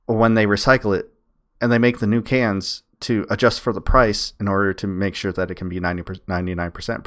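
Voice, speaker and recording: A man's voice: on the low side, a bit bright. A man arguing casually and emphatically, repeating his points as in an online debate. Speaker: streamer and debater. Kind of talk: casual argumentative debate talk.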